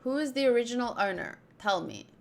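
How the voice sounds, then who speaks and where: A woman's voice: on the high side, expressive, fairly quick, gravelly, darkish tone. A young woman giving casual, chatty playthrough commentary, reading game text aloud and tossing in joking asides. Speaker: streamer doing a game playthrough. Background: game music.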